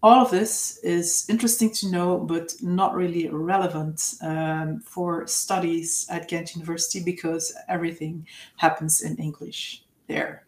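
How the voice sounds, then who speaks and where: A woman from Belgium with a Flemish accent, her first language Dutch, presents in English at a lowish pitch. She speaks haltingly, with plenty of filler sounds between phrases. Her voice rings in an echoey room. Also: fairly even intonation, a little mellow. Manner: hesitant, many filler uhs. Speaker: university presenter. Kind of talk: online presentation.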